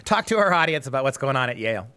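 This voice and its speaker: A man's voice: mid-range voice, big pitch swings, racing, pushed and bright, smooth. A man hosting a talk show, sarcastic and emphatic. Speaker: host.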